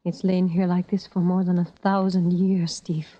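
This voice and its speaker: An actress playing an uneasy, brooding young woman in a vintage radio drama, with hushed, earnest, poetic delivery. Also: lowish voice, level tone, normal speed, natural voice. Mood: awed. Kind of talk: 1940s-50s radio drama acting.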